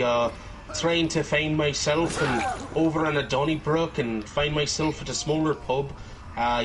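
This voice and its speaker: A man's voice: on the high side, fairly bright tone, a little hiss or hum, natural voice, poor audio. A man putting on an Irish pub brawler.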